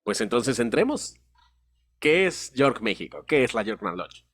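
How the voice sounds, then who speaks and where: A man's voice: mid-range voice, very animated, measured pace, fairly bright tone. A Mexican man guides a casual podcast panel. He reflects on a point, then invites his co-hosts to move on. His delivery is conversational and thoughtful. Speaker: podcast moderator.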